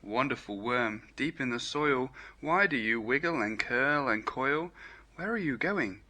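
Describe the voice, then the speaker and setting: Storybook rabbit, male, played by a man: dreamy, earnest poet, bright tone, lilting measured verse. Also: mid-range voice, animated. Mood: earnest, curious. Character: earnest, dreamy male rabbit who recites rhyming poems.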